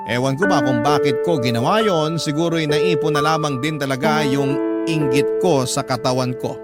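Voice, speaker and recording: High-voiced Filipino man narrating over loud music. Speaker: storyteller and host of a radio love-story program. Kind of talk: dramatic first-person scripted narration of a listener's story. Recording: loud music bed under the voice. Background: loud background music.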